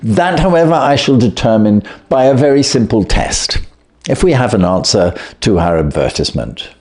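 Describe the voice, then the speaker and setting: A man reading the part of a brisk, clever, self-assured Victorian detective in an audiobook, with precise, commanding, theatrical delivery. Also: medium pitch, lively intonation, darkish tone, lightly acted. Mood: assured, genial.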